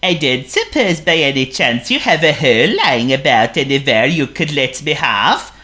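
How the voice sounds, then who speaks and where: A woman's voice: deep voice, very animated, moderate pace, fairly bright tone, pitched above the performer's own voice. A woman reading aloud and voicing a polite, simple-minded male seal in a children's storybook, in an earnest, courteous manner.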